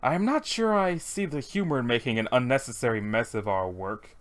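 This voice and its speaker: A reader voicing a fastidious, polite professional killer in a dry, formal, understated manner. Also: mid-range voice, lively intonation, close to an everyday voice. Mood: disapproving.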